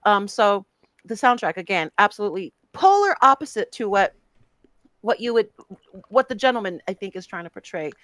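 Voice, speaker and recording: A woman's voice: medium pitch, brisk, no room sound. Chatty woman podcast co-host, dry close mic. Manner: animated, laughs often, many restarts and fillers. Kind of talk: casual podcast chat about films.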